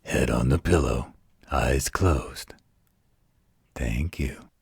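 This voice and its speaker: A man voicing a gentle, patient werewolf in a slow, soothing bedtime roleplay, with a husky growl. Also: no room sound, clean studio sound, full character voice. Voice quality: very deep gravelly husky growl. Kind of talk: intimate bedtime roleplay audio.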